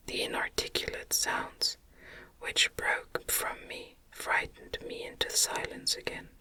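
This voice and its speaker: A woman reads a gothic novel in a gentle, soothing, breathy whisper close to the microphone, in an ASMR style. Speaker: audiobook reader.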